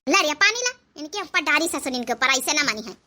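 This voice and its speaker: A woman's voice: high voice, lively intonation, a little fast, pushed and bright, heavily acted. A woman voicing a bossy village mother-in-law who scolds and threatens, in Awadhi-accented comic cartoon dialogue. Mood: scolding, mocking. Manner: haranguing, threatening.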